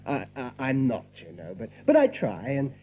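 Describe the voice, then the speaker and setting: An actor playing a modest, easily flustered rabbit storyteller in an audio drama, stammering and self-effacing. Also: male voice, medium pitch, wildly swooping pitch, moderate pace. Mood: bashful. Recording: muffled, hissy old audiobook recording.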